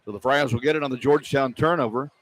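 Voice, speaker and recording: A man's voice: mid-range voice, quick pace. A man calling live basketball play-by-play on the radio, describing the action in clipped running phrases. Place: large indoor basketball arena. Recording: noisy live arena broadcast. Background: loud arena ambience.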